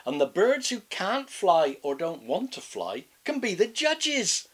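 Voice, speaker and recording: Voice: mid-range voice, sing-song, slow pace, fairly bright tone, breathy edge, voice pushed up, exaggerated voice. A storyteller voicing a fussy, self-important owl who chairs a bird meeting, in a children's fable. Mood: pompous.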